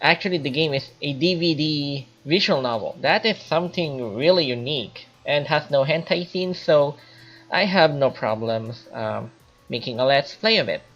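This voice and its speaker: Low-voiced woman reviewing games, lo-fi sound. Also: normal speed. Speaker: video game reviewer and channel host. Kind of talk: informal spoken video game review. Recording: dull lo-fi mic, faint music behind.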